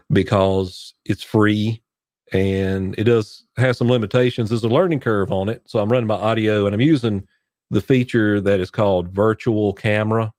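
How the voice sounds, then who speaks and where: A man hosting a casual live church-tech Q&A from his home studio, sick with a cough, rambling as he clicks through his gear on a boom-arm condenser mic. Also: a little mellow, no echo. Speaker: church tech livestream host. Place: home studio, heater running behind.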